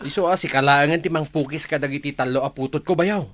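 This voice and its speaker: A man's voice: on the high side, quick pace, a bit bright, lightly acted. Man acting as Jojo, a wheedling brother-in-law. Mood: coaxing. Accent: northern Philippine Ilocano. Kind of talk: Ilocano radio drama acting.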